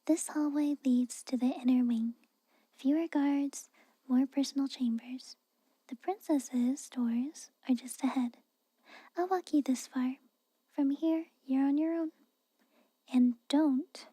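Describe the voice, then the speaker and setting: Woman acting a whispering, high-voiced tsundere maid. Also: no echo. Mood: cautioning, stern. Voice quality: soft, breathy whisper. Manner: hesitant, halting, grudging, cautioning. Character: tsundere castle maid, guarded, haughty, secretly caring. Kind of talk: whispered ASMR roleplay. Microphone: close microphone.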